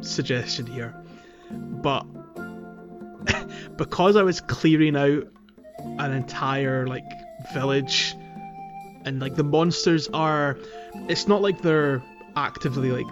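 A man's voice: mid-range voice, highs cut off. A man hosting a podcast, chatting casually and thinking aloud as he goes. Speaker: podcast host. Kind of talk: casual gaming podcast chat.

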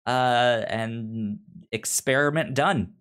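A man chatting casually as a podcast co-host, retelling a story in a halting way and often repeating the starts of words. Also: mid-range voice, fairly bright tone. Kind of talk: casual chat, retelling a TV show episode.

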